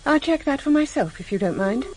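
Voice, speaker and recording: Housewife in radio thriller, guarded, a bit high, husky, very expressive. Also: female voice, a little fast, a little hiss or hum. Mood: anxious, indignant. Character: composed, sharp-witted wife growing anxious and guarded. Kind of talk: radio drama acting.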